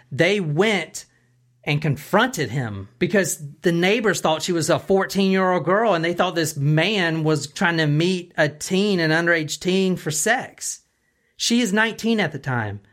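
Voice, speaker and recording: Conversational true-crime storyteller, a woman. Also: lowish voice. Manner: explanatory, often checks listeners follow. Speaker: podcast host telling a true-crime story.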